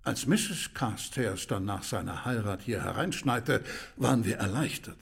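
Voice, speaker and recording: An actor playing a dutiful, discreet manservant in a radio drama, speaking earnestly and with deference. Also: male voice, fairly low pitch, lively intonation, a little slow, creaky, breathy edge, exaggerated voice. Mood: deferential. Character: Mister Kirby, dutiful, discreet household manservant.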